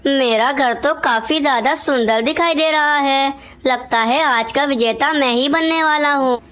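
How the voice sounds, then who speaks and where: A woman voicing a boastful, scheming male crow in an animated children's story. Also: high-pitched, unhurried, pitched above the performer's own voice. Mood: smug.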